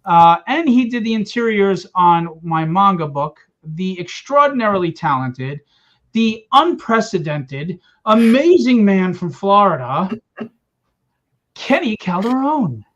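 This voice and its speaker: A man's voice: high voice, sing-song, unhurried, a bit bright. A man hosting a livestream launch party for his comic, joking and teasing his guests as he hypes the campaign. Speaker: host and comic publisher. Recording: dull lo-fi stream audio.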